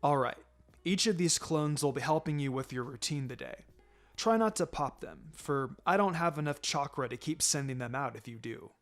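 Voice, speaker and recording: A man voicing a calm, firm male ninja teacher in a story, instructing in a measured, matter-of-fact way. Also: fairly high pitch, voice pushed down. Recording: music under the voice.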